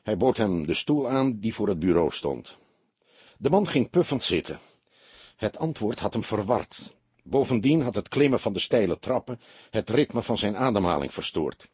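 A man narrating a detective story as an expressive, scripted audiobook reading. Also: fairly low pitch, normal speed, breathy edge, no room sound.

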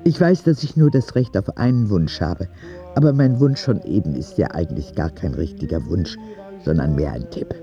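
Sick little boy telling his story in a radio play, naive n confiding, really mellow dark voice, very animated pitch. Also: moderate pace, dull audio, soft background music. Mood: confiding, earnest. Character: ten-year-old sick boy narrating his letters, candid, vulnerable. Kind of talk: audio drama narration.

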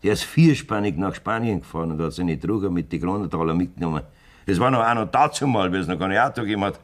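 An actor playing a blunt, scheming middle-aged farmer with a Bavarian accent in a German radio play. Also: male voice, sits low, quick pace, natural voice. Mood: calculating, boastful. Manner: blunt, domineering. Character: hard, scheming farmer demanding a divorce from his wife.

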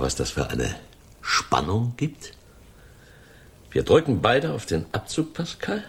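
An actor playing a self-assured, calculating gangster in a radio crime drama, condescending and quietly threatening. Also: male voice, sits low, vocal fry, husky, exaggerated voice. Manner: smug, controlled, threatening.

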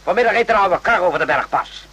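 An actor playing a stern, sardonic superior officer in a radio play, curt and commanding, with sarcastic repetitions. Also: male voice, high-pitched, expressive, quick pace, pushed and bright, slightly rough, full character voice, rough recording. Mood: accusing, stern.

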